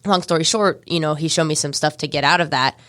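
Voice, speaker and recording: A young woman with an American accent, a martial arts studio owner chatting as a radio guest in a studio, telling stories quickly. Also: deep voice, a bit bright, no room sound. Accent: American, Georgia. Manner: chatty, quick storytelling with laughs. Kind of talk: casual interview chat.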